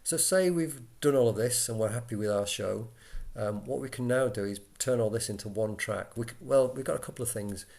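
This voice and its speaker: A man talking through an informal software tutorial, casual and a little hesitant as he thinks aloud into a laptop microphone. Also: mid-range voice, a little fast, vocal fry, hardly any pauses. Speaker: tutorial presenter for radio volunteers. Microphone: built-in laptop microphone. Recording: laptop mic, background noise, key clicks.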